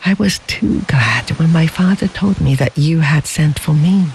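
A storyteller voicing a gentle, devoted young bride who speaks softly and tenderly. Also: mid-range voice, lively intonation, unhurried, a little hiss or hum. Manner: soft, cooing, tender. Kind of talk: storybook reading.

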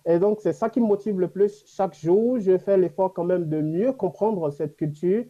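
A man from Africa whose first language is a Gbe language, talking slowly and thoughtfully with frequent fillers. His voice is very dark and mellow and a little higher than usual. The recording is dull and lo-fi. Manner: hesitant, many fillers, reflective. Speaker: guest. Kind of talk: casual interview answers.

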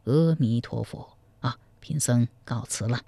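A man storyteller voicing a sly, scheming male Buddhist monk who counsels in a slow, hushed manner. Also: mid-range voice, gravelly, dry recording, voice pushed down. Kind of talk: storytelling, acted dialogue.

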